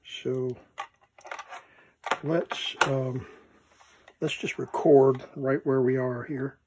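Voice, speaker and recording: A man with a mid-pitched voice talks slowly through a hands-on demonstration. He is casual and halting, thinking aloud in short fragments. There is steady noise and some clicking in the recording. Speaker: vintage electronics collector presenting his item.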